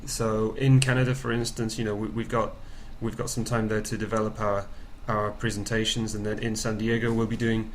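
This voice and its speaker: A man taking part in a conference call, putting questions in a tentative, halting way with repeated words. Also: lowish voice, level tone, poor audio. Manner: hesitant, repetitive, tentative. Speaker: programme participant relaying questions. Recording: conference call with faint noise.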